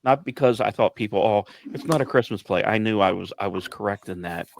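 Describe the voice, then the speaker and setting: A man's voice: lowish voice, fairly quick, vocal fry, a little hiss or hum. A man who directs radio plays, chatting casually in a group and often stammering and repeating words. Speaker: producer and director of a radio play.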